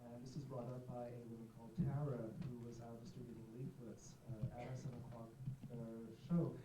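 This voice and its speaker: A man's voice: medium pitch, level tone, brisk, very noisy. A man hosting a festival screening, making hesitant stage announcements full of fillers in a theatre. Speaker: festival host. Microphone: stage microphone heard from a distance. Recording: distant, muffled echoey tape recording.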